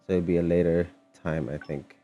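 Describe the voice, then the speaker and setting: A man giving casual, low-key, hesitant livestream commentary while he plays a video game. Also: fairly even intonation, fairly quick, muffled recording. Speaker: game streamer. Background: game music.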